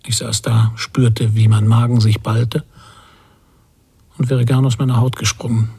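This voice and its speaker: A man's voice: mid-range voice, level tone, unhurried, creaky, warm tone, husky, exaggerated voice. A man playing a bitter, guilt-ridden middle-aged father in a radio drama, thinking aloud in terse fragments. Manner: terse, fragmented inner monologue. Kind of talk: German radio drama interior narration.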